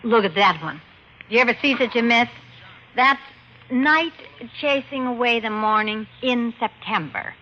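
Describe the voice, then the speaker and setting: An actress playing a tipsy, sardonic young wife in a 1940s radio drama. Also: female voice, a little high, unhurried, lightly acted. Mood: bitter, sardonic. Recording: muffled, faintly noisy old radio recording.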